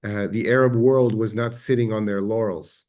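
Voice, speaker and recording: A man with an Israeli accent giving a measured, reflective history lecture, pausing now and then with fillers. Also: lowish voice, fairly even intonation, darkish tone. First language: Hebrew.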